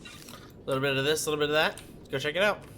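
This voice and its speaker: A man's voice: fairly high pitch, quick pace, pushed and bright, slight background noise. A man giving casual gameplay commentary as a streamer, chipper and full of playful asides. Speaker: gaming streamer, host.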